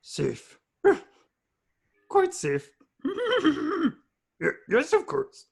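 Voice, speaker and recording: A woman voicing a small, playful, eccentric old alien creature in an amateur script reading, with a gravelly voice and inverted, exclaiming phrasing. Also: high-pitched, huge pitch swings, extremely slow, heavily acted. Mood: amused. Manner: inverted word order, short repeated exclamations. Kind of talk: amateur script table read.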